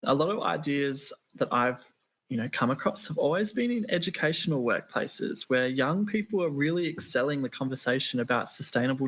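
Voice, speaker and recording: A man speaking as a panelist, explaining points in a steady, list-like way. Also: medium pitch. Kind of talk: panel discussion answers.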